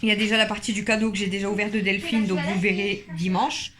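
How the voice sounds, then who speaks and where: A woman's voice: medium pitch, flat delivery, fast talker, crowd noise. A woman, chatting casually in a home family vlog and laughing often. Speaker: family vlogger, mother.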